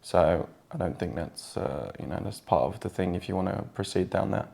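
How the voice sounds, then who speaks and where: An English man talking casually with his partner, relaxed and hesitant, with many fillers. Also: sits low, normal speed, creaky. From England. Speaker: guest partner. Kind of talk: casual chat.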